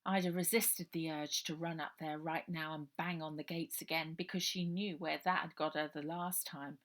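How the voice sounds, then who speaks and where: A woman's voice: low voice, steady tone, normal speed, fairly bright tone. A woman author narrating her own children's novel aloud, as an unedited read-through.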